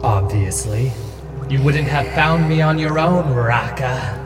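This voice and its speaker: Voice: medium pitch, slow, natural voice. An actor playing a huge male antlered forest spirit in a fantasy audio drama, solemn and imperious. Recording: distant sound, music behind.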